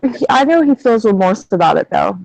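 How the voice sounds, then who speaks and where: A woman's voice: mid-range voice, lively intonation, a little fast, gravelly, darkish tone, no room sound, rough recording. A woman in her thirties in casual chat, venting bluntly with plenty of swearing. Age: 30s.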